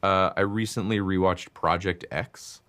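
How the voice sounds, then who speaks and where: A young man with a very deep voice talks loudly in a relaxed interview. He talks in quick, animated bursts and leans hard on filler words. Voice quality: loud voice. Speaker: guest musician and composer. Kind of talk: casual interview chat.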